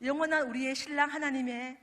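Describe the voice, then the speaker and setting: A middle-aged woman with a Gyeongsang accent delivers a chatty, joking sermon from the stage, teasing her listeners between her points. Her voice sits high, and it carries a long echo through a large church sanctuary. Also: fairly even intonation, fast, fairly bright tone. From Daegu, South Korea. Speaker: pastor's wife speaking at a prayer meeting. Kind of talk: humorous testimony sermon.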